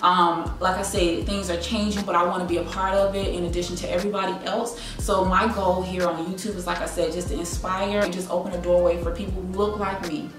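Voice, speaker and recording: A woman's voice: lowish voice, little pitch movement, fairly quick, few pauses. An American woman in her late twenties from South Carolina, chatting casually to camera and addressing viewers like girlfriends. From South Carolina, United States. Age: late 20s. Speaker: YouTube content creator. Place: indoors, living room at home. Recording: echoey room, distant mic, music behind. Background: background music.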